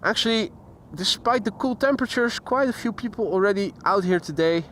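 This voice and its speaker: A man with a fairly high voice talks casually through a live stream outdoors, greeting viewers by name and chatting between topics. A faint outdoor hum sits behind him, picked up by a camera-mounted mic. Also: lively intonation, fairly quick, a bit bright. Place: outdoors near an airport. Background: faint outdoor traffic and voices.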